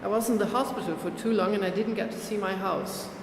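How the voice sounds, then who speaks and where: A German woman in her forties reads aloud in a plain, measured voice. Her voice rings around a large echoing gallery room with a long tail of reverb. A steady noise sits underneath. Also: mid-range voice. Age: 40s. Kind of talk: reading a script aloud.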